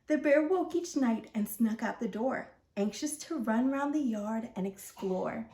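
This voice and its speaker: A woman's voice: a little high, expressive, measured pace, dark tone, reverberant. A woman reading a rhyming children's story aloud as the host of a read-aloud.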